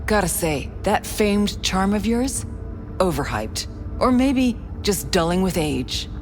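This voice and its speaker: A woman's voice: mid-range voice, animated, slow, soft background music. An actress playing a bitter, scornful female mercenary in a cyberpunk video game, blunt and biting. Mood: cold, weary. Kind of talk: video game drama acting.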